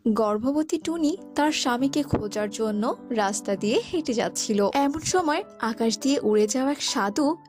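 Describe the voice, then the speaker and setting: A woman narrates a children's tale in a high voice. Her delivery is scripted storytelling for a cartoon, with faint music underneath. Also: expressive, moderate pace, darkish tone.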